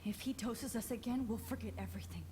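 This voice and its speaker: An actress playing a determined young woman held captive in a video game, speaking in a hushed, urgent way. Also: on the high side, quick pace, background noise, lightly acted. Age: young adult.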